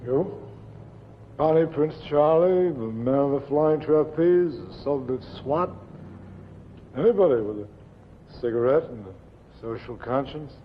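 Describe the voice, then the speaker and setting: A man's voice: medium pitch, animated, measured pace, long pauses, natural voice. An actor playing a theatrical, flowery, sardonic man cadging smokes in a 1950s television drama, with a grandiose manner. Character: theatrical, flowery, sardonic male cigarette cadger. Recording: dull 1950s TV soundtrack, faint noise.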